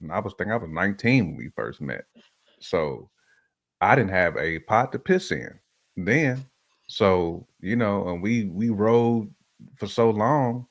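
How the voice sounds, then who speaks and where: An American man chatting casually on a relationship podcast, using slang and repeating phrases for emphasis. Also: sits low.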